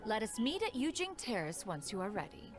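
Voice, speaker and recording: A woman's voice: medium pitch, sing-song, fairly quick, soft background music, lightly acted. A woman playing a poised, aloof, learned lady who speaks formally and precisely in a video game.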